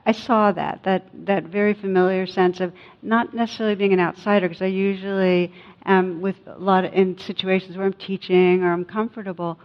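A woman giving a meditation talk as a teacher, speaking in a reflective, conversational and gently instructive way, with personal anecdotes. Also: on the low side. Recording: dull, muffled lo-fi sound.

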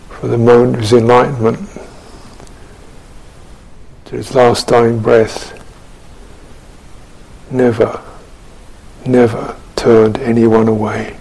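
A man giving a contemplative guided meditation as a Buddhist teacher, speaking in measured phrases with long pauses. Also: medium pitch, creaky, darkish tone, rough recording. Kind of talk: guided meditation and dharma talk.

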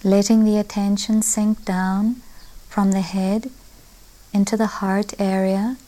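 A woman meditation teacher leading a guided meditation in a gentle, unhurried way with long pauses. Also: mid-range voice, flat delivery, poor audio. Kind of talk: guided loving-kindness meditation.

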